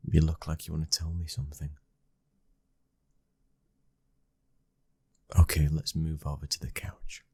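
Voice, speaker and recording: A British man playing a protective, devoted young mafia heir and lover in an intimate ASMR roleplay, speaking softly and tenderly, often near a whisper. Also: a bass voice, little pitch movement, fast talker, husky, no room sound, heavily acted. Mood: calm, teasing.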